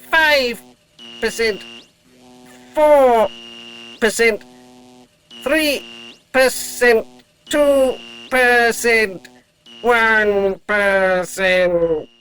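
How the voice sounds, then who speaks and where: A woman's voice: fairly high pitch, extremely slow, pauses often, a bit bright, faint music behind, low-quality recording. A woman playing a polite, loyal robot dog in an audio drama, speaking in clipped, formal, literal phrases. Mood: measured countdown.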